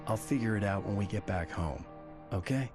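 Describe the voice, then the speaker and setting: An actor playing a tired, guarded bestselling writer, narrating and speaking in a video game. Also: male voice, on the low side, moderate pace, music in the background, natural voice. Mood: weary, brooding. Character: weary male bestselling novelist, guarded, brooding, tired. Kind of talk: cinematic game dialogue and first-person narration.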